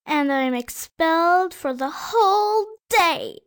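An earnest tearful little schoolboy. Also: male voice, very high-pitched, lively intonation, slow, fairly bright tone, pitched above the performer's own voice, exaggerated voice. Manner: earnest, pleading childlike voice. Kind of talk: storybook read-aloud.

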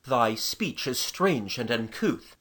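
A haughty, noble knight of old, performed by a woman storyteller. The voice sits very deep and husky, delivering stately, pompous archaic lines with grand rises and falls. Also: big pitch swings, slow, fairly bright tone, exaggerated voice. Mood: puzzled, haughty. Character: haughty, gallant medieval knight captain, male, gravely noble. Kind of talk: storybook character dialogue.

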